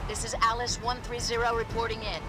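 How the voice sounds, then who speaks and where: An actress playing a tough, bold female supersoldier in a sci-fi game, with crisp, confident military delivery. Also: on the high side, flat delivery, a little fast, natural voice. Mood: confident, businesslike. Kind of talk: scripted sci-fi game dialogue.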